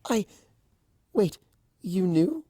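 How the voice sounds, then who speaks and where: A timid young female pony from a storybook acted out by a narrator, pitched well above the reader's own voice. She is gentle and anxious, stammering hesitantly in a dry recording. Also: very animated, extremely slow, no echo, heavily acted. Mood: flustered. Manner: hesitant, stammering, soft-spoken. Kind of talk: storybook character voicing.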